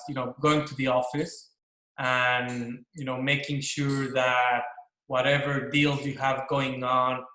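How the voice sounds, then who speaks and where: A man's voice: mid-range voice, bright, forceful tone. Mexican-accented man in an echoey room. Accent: Mexican Spanish. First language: Spanish. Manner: earnest, explanatory, many restarts and fillers. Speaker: corporate attorney, panel guest. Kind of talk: explaining his job to students on a panel.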